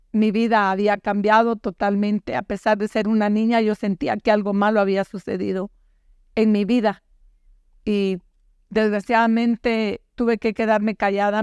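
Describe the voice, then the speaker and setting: An older woman from Guatemala, recounting her own life story in a quiet, measured, halting way. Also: mid-range voice, smooth, no echo, highs cut off. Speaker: guest survivor. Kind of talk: personal testimony.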